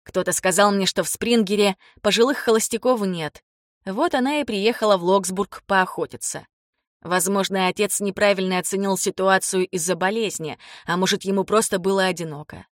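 A woman's voice: medium pitch, moderate pace, dry recording, studio quality. A woman reading a first-person novel as scripted audiobook narration.

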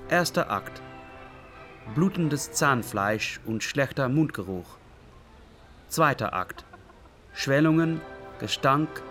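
An actor playing a grim radio play narrator, stark and deliberate, speaking in clipped phrases. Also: male voice, mid-range voice, fairly bright tone. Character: grim male narrator reciting a disease's course like tragedy.

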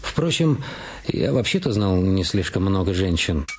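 A man's voice: sits low, very animated, a little slow, a little hiss or hum. An actor playing a male police detective questioning a colleague in a radio drama. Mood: musing, inquiring.

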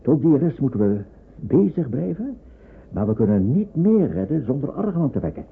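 An actor playing a calm, resolute doctor who secretly rescues people in a wartime radio drama. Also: male voice, medium pitch, normal speed, vocal fry, breathy edge, exaggerated voice. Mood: urgent, conspiratorial. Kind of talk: Dutch radio drama acting. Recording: tinny, band-limited old radio recording, faint noise.

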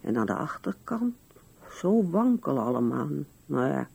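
Husky, creaky old widow, musing slowly. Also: female voice, very deep voice, sing-song, darkish tone, heavily acted. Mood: mildly grumbling. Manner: musing, resigned, talking to herself. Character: old widow musing at her husband's grave. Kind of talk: 1970s radio drama monologue.

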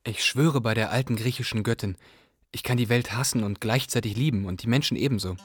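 A man's voice: medium pitch, fairly quick, husky, no echo, clean studio sound, close to an everyday voice. A man playing a restless, longing young refugee who narrates his journey in a radio play. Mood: wistful.

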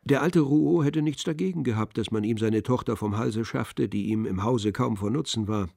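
A man narrating a literary radio play in a measured, composed manner. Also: mid-range voice, creaky, darkish tone, no echo. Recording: dry studio with faint music.

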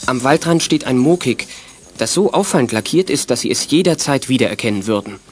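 A man's voice: mid-range voice, lively intonation, fairly quick, close to an everyday voice. Actor as male storyteller over music. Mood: narrating. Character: male storyteller of a children's detective radio play. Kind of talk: scripted narration.

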